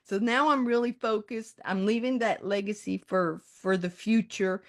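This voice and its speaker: A woman's voice: mid-range voice, normal speed. A woman in her mid-sixties telling her personal story in a candid, conversational way, often acting out her own thoughts. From born in Colombia, raised in the United States from age five. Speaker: writer and resilience coach.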